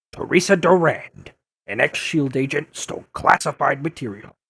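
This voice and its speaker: An actor playing a gruff, blustering older newspaperman in an audio drama, insistent and quick to insult. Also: medium pitch, a bit bright, dry recording, full character voice. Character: gruff, combative older male newspaperman turned blogger. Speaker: investigative reporter interviewing a superhero. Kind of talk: audio drama dub acting.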